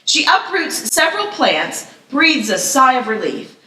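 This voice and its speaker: A woman's voice: high-pitched, very animated, unhurried, bright, forceful tone, far from the mic. A woman reading screenplay stage directions as narrator at a live table read. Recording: echoey room, slightly off the mic.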